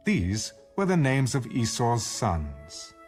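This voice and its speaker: A man giving a formal, measured scripted narration of scripture, as in an audio Bible. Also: mid-range voice, huge pitch swings. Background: background music.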